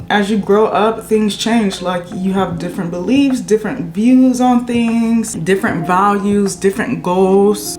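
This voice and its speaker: A woman's voice: medium pitch, roomy sound. A woman in her late twenties chatting casually and venting while doing her makeup at home, rambling a little before coming back to her point. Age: 20s. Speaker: beauty vlogger. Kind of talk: casual get-ready-with-me chat. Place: her apartment.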